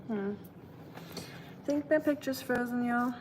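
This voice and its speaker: A young German woman chats with her stream while cooking, her voice a touch higher than most. She rambles in a friendly way with plenty of fillers. The kitchen is echoey, with pots and pans clattering behind her. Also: slow, slight background noise. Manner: chatty and friendly, lots of fillers, talks while working. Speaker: vlogger livestreaming. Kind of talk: casual chat reading live comments while cooking.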